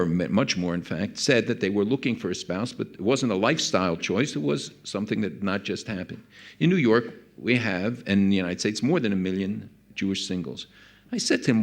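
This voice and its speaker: A man speaking as a panelist at a conference, earnest in tone, his voice climbing high when he recounts conversations. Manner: earnest, rises sharply when recounting dialogue. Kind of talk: panel discussion remarks. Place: conference hall.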